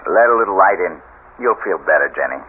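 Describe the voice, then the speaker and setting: Insistent soothing husband, radio drama, low pitch, lively intonation, tinny noisy old recording. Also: male voice, fast talker. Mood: reassuring. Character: protective husband, coaxing, quick to flare up. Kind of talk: 1970s radio drama acting.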